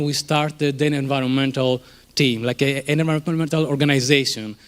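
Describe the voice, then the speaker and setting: A man in his thirties from Greece with a Greek accent, giving a conversational conference presentation in a room, with many fillers and restarts. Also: on the high side. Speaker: conference speaker, startup co-founder.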